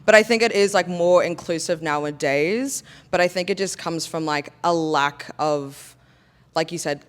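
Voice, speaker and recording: A young woman sharing her views in a casual panel discussion, talking reflectively with lots of "like" fillers. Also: on the low side. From Australia. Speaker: panel guest.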